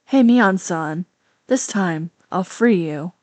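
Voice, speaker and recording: Woman reading as sinister villainess, slow, quiet, mocking. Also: fairly low pitch, lively intonation, dry recording. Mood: sinister taunting. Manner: slow, soft, taunting.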